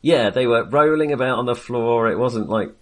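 A man's voice: mid-range voice, moderate pace. A man co-hosting a film podcast in casual conversation, with a hesitant, rambling and wry way of talking.

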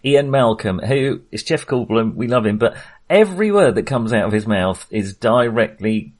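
A man's voice: mid-range voice, lively intonation, normal speed, a little mellow. A man co-hosting a casual film discussion in a conversational, hedging manner. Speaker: film podcast co-host.

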